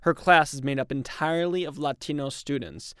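A man reporting for public radio, reading a scripted news feature in a measured, even storytelling manner. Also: a little high, clear, resonant tone, no room sound, clean studio sound.

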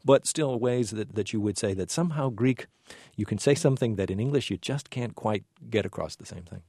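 Low-voiced male interviewer, searching. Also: brisk, creaky, mellow, breathy edge, no echo, studio quality. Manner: long, searching questions with frequent restarts. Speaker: interviewer host. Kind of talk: conversational interview.

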